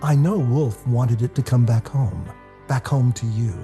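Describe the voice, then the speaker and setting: Gravelly, mellow man narrating over music. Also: mid-range voice, a little slow. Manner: confessional, expressive storytelling. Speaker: author reading their own novel. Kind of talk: first-person dramatic audiobook narration. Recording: music under the voice.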